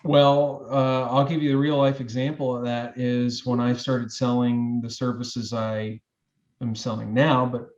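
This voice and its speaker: A man's voice: medium pitch, little pitch movement, a little slow, darkish tone, dull audio. A man in his forties, a guest giving advice in a reflective interview, talking in loose, rambling sentences with many fillers and restarts. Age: 40s.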